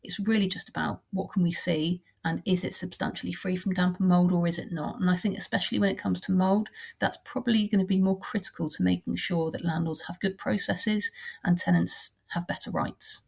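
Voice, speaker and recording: A woman with a heavy cold and a croaky voice, explaining housing law in a measured, practical way as a surveyor. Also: on the low side, steady tone, a little mellow. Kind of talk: informal explainer talk.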